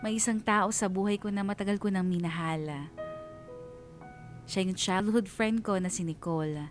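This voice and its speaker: A woman with a Filipino accent narrating a scripted first-person story as a radio DJ, reflective and sentimental, with pauses. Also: mid-range voice, faint music behind. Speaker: radio DJ reading a listener's story.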